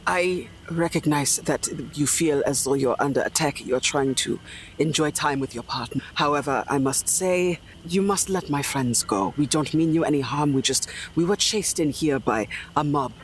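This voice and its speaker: A man's voice: on the high side, moderate pace, lightly acted. A man playing a polite, earnest German negotiator in a tabletop roleplay, speaking formally with German words mixed in. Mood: earnest pleading. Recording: background ambient noise.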